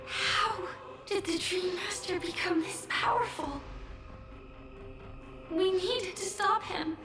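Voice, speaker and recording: A young game heroine, speaks in a very high voice at a very slow, deliberate pace. She is quietly earnest and resolute. Her voice echoes from a distance over music. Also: full character voice. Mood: grim. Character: soft-spoken, resolute young heroine defying fate. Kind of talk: dramatic video game voice acting.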